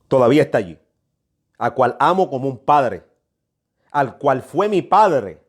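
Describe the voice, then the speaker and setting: A man's voice: medium pitch, big pitch swings, measured pace. A man preaching a prophetic message, emphatic and admonishing, often repeating his phrases for weight. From New York, United States. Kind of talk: prophetic sermon, exhortation.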